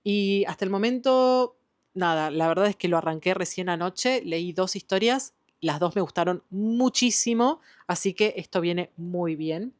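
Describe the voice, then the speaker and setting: A woman's voice: lowish voice, measured pace. A woman with an Argentine Spanish accent, chatting enthusiastically about the books she has been reading, with frequent "eh" and "em" fillers. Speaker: book vlogger. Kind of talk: casual book wrap-up chat.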